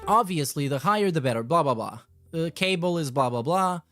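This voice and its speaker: A man's voice: a little high, expressive, fairly quick, fairly bright tone, dry recording, faint music behind. A man hosting a casual livestream, reading messages aloud with irreverent, profane and sarcastic commentary. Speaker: podcast host.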